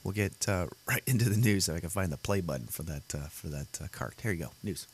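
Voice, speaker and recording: Gravelly low-voiced man hosting his show. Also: fast talker. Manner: rambling, many fillers and restarts. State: voice worn and rough today. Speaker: podcast host. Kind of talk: casual solo show chat. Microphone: computer audio setup.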